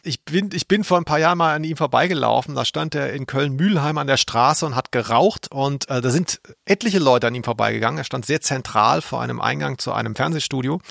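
A man with a creaky, mid-pitched voice chats casually as a podcast co-host. He pauses and searches for words, and the sound is very dry. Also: a bit bright, dry recording. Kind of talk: casual chat about radio plays.

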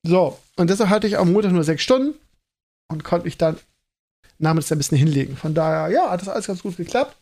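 A man talks alone as a podcast host. His voice sits slightly high for a man. He speaks casually and colloquially, wandering through his thoughts and interrupting himself as he goes. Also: expressive, fast, a little mellow. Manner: rambling, self-interrupting, colloquial. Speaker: school teacher. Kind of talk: casual solo podcast monologue.

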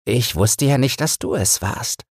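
A fond old wife played by a man reminisces in a bedtime storybook reading. The delivery is slow and smooth, lifted well above the reader's own pitch, and recorded dry. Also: lively intonation, no room sound. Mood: tender. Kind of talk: audiobook fairy-tale character reading.

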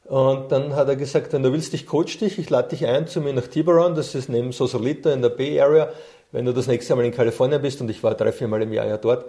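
A middle-aged man speaks as an interview guest with a mellow, relaxed tone. He is a coach and trainer who tells personal stories in a conversational way, at an average male pitch.

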